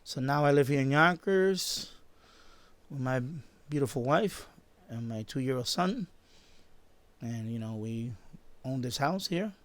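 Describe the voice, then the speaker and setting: A man's voice: medium pitch, expressive, a little slow, pauses often, dry recording. A fifty-year-old man from the Bronx with a New York accent, chatting casually and rambling informally on a live stream, often repeating his phrases. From born in Puerto Rico, grew up in the Bronx, New York. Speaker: live stream host, shortwave radio hobbyist. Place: basement at home.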